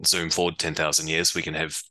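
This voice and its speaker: A man from New Zealand with a New Zealand accent, a startup co-founder and CEO explaining things in a relaxed way as a podcast guest. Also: a bass voice, a little fast, dry recording. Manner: relaxed explaining, uses reckon and heaps. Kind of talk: podcast interview.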